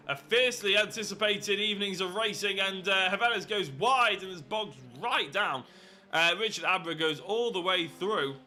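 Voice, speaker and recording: A young man commentates a sim race live, his voice stuffed up and nasal from hay fever. His tone sits a little high and rings very bright, and he chats along with apologetic asides and quick self-corrections over a faint background hiss.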